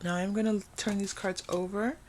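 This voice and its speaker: A woman's voice: medium pitch, steady tone, moderate pace, background noise. A woman with a mid-range voice talks viewers through a tarot reading. Her delivery is casual and intuitive, as if thinking aloud. She often repeats a phrase to underline it. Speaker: tarot reader and host.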